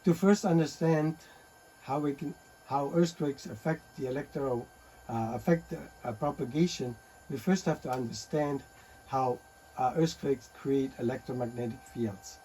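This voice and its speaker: Man presenting, halting with many fillers, mellow voice, faint background hiss. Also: medium pitch, rough recording. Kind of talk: technical conference presentation.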